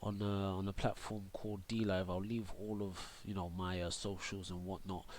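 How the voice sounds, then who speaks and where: A man's voice: deep voice, flat delivery, normal speed, a little mellow, slight background noise. Congested man rambling through a game tutorial. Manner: rambling, many fillers and restarts. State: congested from a cold. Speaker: gaming YouTuber and streamer. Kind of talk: casual game tutorial with screen recording.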